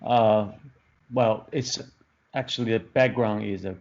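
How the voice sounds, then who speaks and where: Man, fifties, from Taiwan, second-language English, low voice, halting with many restarts, muffled lo-fi audio. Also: fairly even intonation, vocal fry, darkish tone. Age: 50s. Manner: hesitant, many restarts and fillers, searching for words. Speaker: film director. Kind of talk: interview answers. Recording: dull lo-fi podcast audio.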